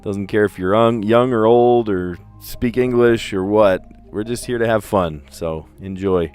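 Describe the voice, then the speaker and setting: A man's voice: lowish voice, a little slow, a little mellow, smooth, soft background music. A man from the US East Coast with a general American accent, hosting a puzzle livestream and chatting casually as he thinks aloud, with careful diction.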